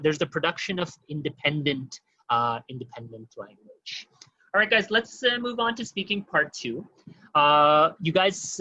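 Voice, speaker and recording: A man teaching an online exam-prep webinar, talking quickly and informally to his audience with many fillers. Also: fairly high pitch, pushed and bright, no room sound, lo-fi sound.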